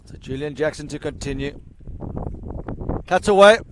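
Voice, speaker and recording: A man's voice: on the high side, moderate pace, slight background noise, low-quality recording. A man giving chatty, explanatory live commentary on an amateur cricket match. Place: outdoors at a cricket ground.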